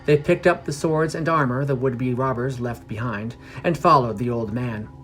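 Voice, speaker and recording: A man's voice: medium pitch, moderate pace, fairly bright tone, faint music behind. A man reading scripted fantasy fiction as a podcast narrator.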